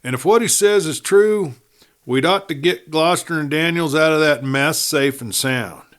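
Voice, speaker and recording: A man's voice: a little high, normal speed, a bit bright, pitched above the performer's own voice, lightly acted. A man voicing wary male onlookers in a Western audiobook, with folksy, rustic speech. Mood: solemn, doubting. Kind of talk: audiobook character voice.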